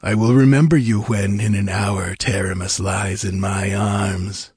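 A man's voice: lowish voice, unhurried, husky, no echo, clean studio sound, exaggerated voice. A man voicing a smug, cruel military commander who taunts with formal courtesy, in an audiobook. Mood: smug gloating. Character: mocking, cruel military commander gloating over his victim. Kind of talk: audiobook character voice.

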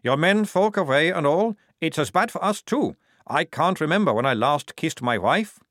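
A man's voice: on the high side, lively intonation, normal speed, fairly bright tone, no echo, studio quality, voice pushed up. A man doing a chummy, wheedling male guard.

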